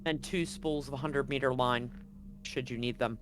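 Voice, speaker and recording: A man's voice: medium pitch, fairly even intonation, moderate pace. A man running a tabletop role-playing game as game master, narrating scenes and options in a casual, conversational way.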